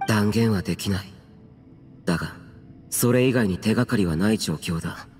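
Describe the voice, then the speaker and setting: A man's voice: on the low side, moderate pace, close to an everyday voice. A man acting in a Japanese game as a stern, aloof, youthful immortal warrior who speaks tersely and solemnly in archaic words. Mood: solemn, resolute. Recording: long reverb, faint music behind.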